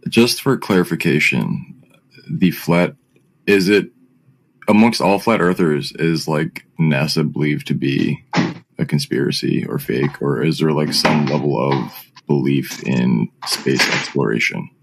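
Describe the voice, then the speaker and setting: A man's voice: rumbling low, unhurried, creaky, background noise. A man calling in with a polite, hesitant question. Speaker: caller.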